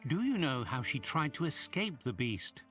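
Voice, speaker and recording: An actor playing a polite, uneasy gentleman sidekick with a genteel, earnest way of talking in a video game. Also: mid-range voice, very animated, normal speed, faint music behind. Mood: polite curiosity. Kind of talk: video game cutscene voice acting.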